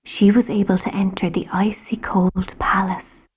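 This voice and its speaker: A woman with an Irish accent narrating a children's story from a script in a measured way, hushing at the ends of phrases. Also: mid-range voice, level tone, in a whisper, rough recording. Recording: clear music behind.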